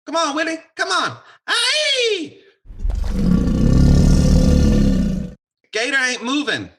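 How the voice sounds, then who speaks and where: An eager little country boy from a radio play, acted by a woman, with a Southern US drawl. The voice sits high and pleads earnestly. Clear noise and clicks sit in the recording. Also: huge pitch swings, measured pace, heavily acted. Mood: coaxing. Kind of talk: audio drama acting. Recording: noisy, with clicks.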